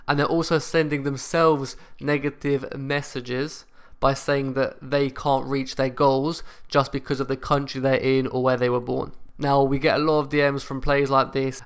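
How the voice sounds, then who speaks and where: A young man hosting a football channel, giving direct, steady motivational advice to camera. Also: medium pitch, clean tone. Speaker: football career coach and channel host.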